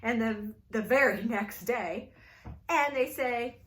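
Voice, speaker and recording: A woman telling a folktale at a children's storytime, playful and animated, inviting the kids to join in. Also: fairly high pitch, slow pace. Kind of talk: storytime read-aloud for kids.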